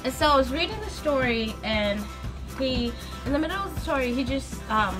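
A woman's voice: high-pitched, normal speed, music in the background. A young woman with a foreign accent, chatting and telling stories in a casual cooking vlog from her small home kitchen. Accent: foreign, non-native English. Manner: chatty storytelling, animated. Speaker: vlogger. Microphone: phone camera on the counter.

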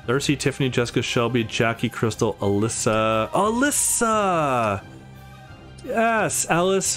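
A man doing let's play commentary, reading a visual novel's lines aloud with acted delivery and his own reactions between them. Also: mid-range voice. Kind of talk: gaming commentary with read-out dialogue.

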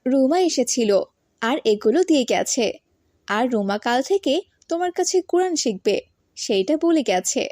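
Woman as a pious cartoon mother, high voice, gentle, encouraging, dry studio sound. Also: lively intonation, normal speed, no room sound. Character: kindly, pious village mother. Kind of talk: children's moral cartoon dubbing and narration.

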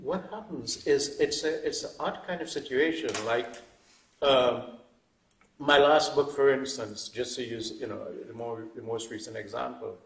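A man's voice: mid-range voice, unhurried, gravelly. Man, 50s, reflective guest, hedges and restarts, roomy distant mic. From Trinidad. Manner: thoughtful, hedging with many "sort of" restarts. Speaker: guest writer. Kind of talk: reflective interview talk. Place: wood-panelled indoor room.

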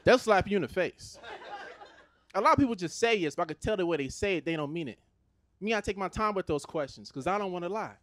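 A man from Los Angeles in his thirties with an American accent, performing a stand-up comedy set on a theater stage into a handheld mic, animated and conversational. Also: high voice, fast, no room sound, clean studio sound. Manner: animated, conversational, switches into put-on Nigerian voices for his parents.

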